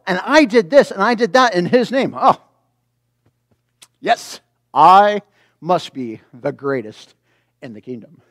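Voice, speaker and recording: A man preaching a sermon as a pastor, reading scripture and explaining it in an animated way, acting out reactions. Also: high-pitched, no echo, studio quality. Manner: animated, acts out imagined reactions.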